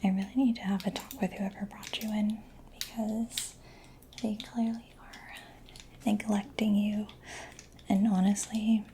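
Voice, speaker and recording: Soft whispering woman, very even pitch. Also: measured pace. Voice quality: soft, breathy, mostly whispered. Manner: gentle, personal-attention murmuring. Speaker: ASMR performer. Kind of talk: soft-spoken ASMR mechanic roleplay. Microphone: close-up ASMR microphone. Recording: close-miked with clear background noise.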